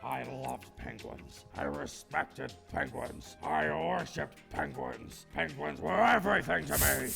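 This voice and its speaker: Creepy old penguin villain, parody anime dub: heavy fry, animated, theatrical, loud music behind. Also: male voice, on the high side, a little slow, hardly any pauses, exaggerated voice. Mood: gleefully creepy. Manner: theatrical, emphatic, over-explaining.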